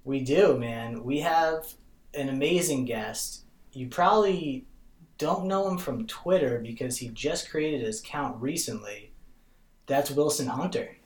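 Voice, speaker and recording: A man's voice: mid-range voice, measured pace. A man hosting a podcast, talking in a casual, slangy, laid-back way. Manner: laid-back, slangy, calls people bro. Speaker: podcast host. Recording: roomy echo.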